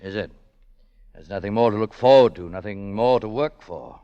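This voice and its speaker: An actor playing a world-weary, lonely middle-aged businessman in a measured, deliberate 1940s radio drama style. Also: lowish voice, clear, resonant tone, muffled recording, lightly acted. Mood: brisk, resolute. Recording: old radio recording with reverberant hall sound.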